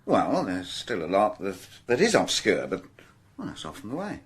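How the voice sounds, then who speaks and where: A dogged detective in a radio crime drama, British-accented and slightly husky. His delivery swings widely in pitch, moving between hesitant musing and exasperated protest. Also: wildly swooping pitch, moderate pace, heavily acted. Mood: weary, explaining. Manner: hesitant musing breaking into indignant outbursts. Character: dogged, exasperated male French police detective.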